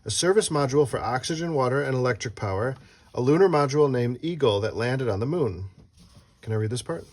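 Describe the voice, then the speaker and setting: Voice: mid-range voice, expressive, a little fast, darkish tone. Someone reading a children's book aloud as host, playfully asking a child questions and adding casual asides.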